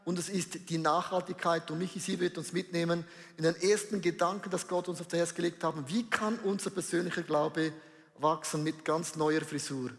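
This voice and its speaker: A man as pastor, delivering an enthusiastic, hyped address at a church service. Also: high-pitched. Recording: long hall reverb.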